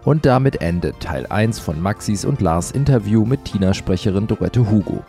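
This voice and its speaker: Deep, mellow-voiced male announcer. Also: expressive, normal speed. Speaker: magazine announcer. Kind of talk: scripted narration. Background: music.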